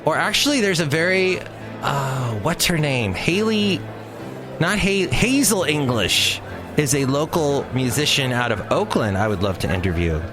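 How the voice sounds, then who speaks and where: A man's voice: fairly high pitch, lively intonation, unhurried, music in the background. Rambling, jokey man hosting a podcast. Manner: rambling, jokey, playful asides. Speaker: podcast host. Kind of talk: casual solo podcast monologue.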